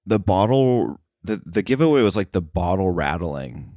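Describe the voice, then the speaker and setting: A man with a deep voice gives casual interview answers in a dry recording. He talks haltingly, hedging and working out his point as he goes. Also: sing-song, warm tone, no echo. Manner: halting, hedging, thinking aloud. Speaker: podcast guest, sound editor.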